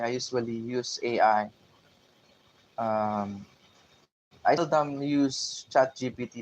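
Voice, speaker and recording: A man from the Philippines with a Filipino English accent, talking casually to his channel viewers, with many fillers and restarts. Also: medium pitch. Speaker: YouTube channel host. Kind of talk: casual unscripted talk to camera. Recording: dull lo-fi recording with faint room noise.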